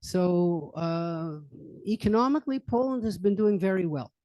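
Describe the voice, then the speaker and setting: A man's voice: high voice, expressive, normal speed, warm tone, dry recording, rough recording. An older man giving an informal current-events talk to an audience at a public library, rambling with many fillers and restarts. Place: library meeting room.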